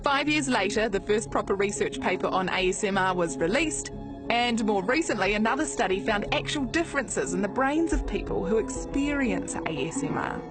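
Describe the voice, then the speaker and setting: A woman's voice: medium pitch, lively intonation, moderate pace. A woman with a New Zealand accent, narrating a scripted television feature as its reporter. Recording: music bed behind voice. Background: music.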